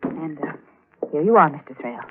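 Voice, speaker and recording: An actress playing a nervous, secretive young niece in a vintage radio thriller, polite and hesitant. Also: female voice, low voice, animated, fairly quick. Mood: nervous, evasive. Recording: tinny, noisy old radio recording.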